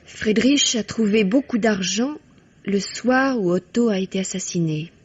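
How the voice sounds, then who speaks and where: Jeune femme anxieuse, voix voilée. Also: female voice, mid-range voice, unhurried, breathy edge. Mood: anxious, confiding. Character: anxious, grieving young woman confiding in police. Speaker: actress in a radio mystery. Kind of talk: French radio mystery drama acting. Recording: dull old radio recording.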